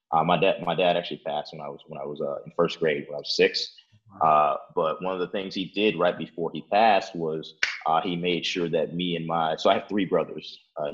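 A man's voice: low-pitched, a bit bright, dull audio. A young man from Chicago, answering interview questions at home in loose casual talk full of "like" and "uh". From Chicago, Illinois. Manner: rambling, many fillers like "like" and "uh", restarts. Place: his apartment.

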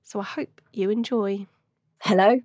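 A woman's voice: medium pitch, fairly even intonation, unhurried, a little mellow, no room sound, studio quality. A woman hosting a podcast, introducing the show and her guests with enthusiasm. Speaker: podcast host.

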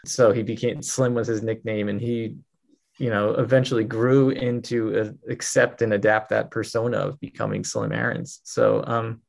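Gravelly low-voiced man telling a story. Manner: fluent, storytelling with fillers. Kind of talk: interview answers. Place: New York.

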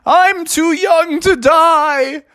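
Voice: extremely high pitch, a bit bright, no echo, voice pushed up, exaggerated voice. A storyteller voicing a whiny, melodramatic male raccoon who wails and draws out words. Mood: moaning despair. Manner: wailing, drawn-out melodrama.

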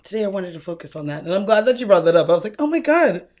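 A woman's voice: lowish voice, racing, warm tone. A woman co-hosting a podcast, explaining things in casual, informal talk with swearing. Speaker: podcast co-host. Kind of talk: casual chat.

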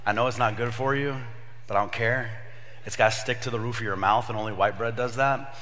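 Slightly raspy pastor in a big hall. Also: male voice, medium pitch, fairly even intonation, brisk, fairly bright tone, slight background noise. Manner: chatty storyteller, jokey asides, many fillers. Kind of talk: casual sermon to young adults.

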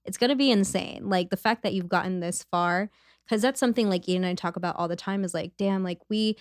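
A woman's voice: medium pitch, brisk, no echo, clean studio sound. Upbeat slangy podcast host woman. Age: late 20s. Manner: enthusiastic, slangy reactions, rambling questions. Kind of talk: casual conversational interview.

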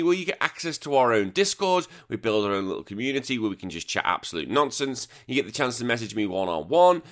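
A man hosting a retro gaming podcast in casual chat, a bit croaky, blunt and joking, swearing freely. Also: mid-range voice, sharp tone. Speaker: podcast host.

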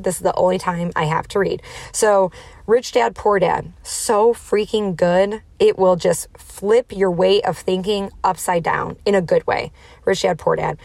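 A woman's voice: medium pitch, vocal fry, no echo. A woman in her thirties with a nasal voice, chatting casually and emphatically as she hosts a solo podcast. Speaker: podcast host.

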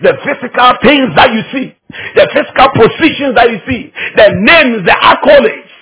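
A man's voice: very high-pitched, moderate pace, sharp tone, husky, low-quality recording. A man from Ghana with a Ghanaian accent preaching a teaching sermon, emphatic and repeating phrases to drive them home.

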